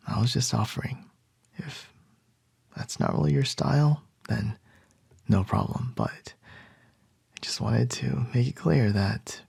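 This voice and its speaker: A man playing a calm, charming male CEO boss in an ASMR roleplay, soft and reassuring with a light teasing edge. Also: mid-range voice, creaky, husky, no room sound, clean studio sound, heavily acted.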